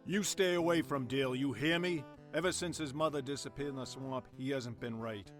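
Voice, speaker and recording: A man playing a gruff, defiant family man in an audio drama, speaking in a blunt, stern, confrontational way. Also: mid-range voice, a little fast, fairly bright tone, faint music behind, close to an everyday voice. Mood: angry, determined.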